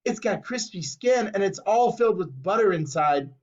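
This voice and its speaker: A man's voice: high voice, animated, normal speed, a little mellow, voice pushed up. Man doing a greedy, bossy girl, muffled. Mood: gleeful. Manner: pushy and gleeful. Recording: muffled lo-fi recording.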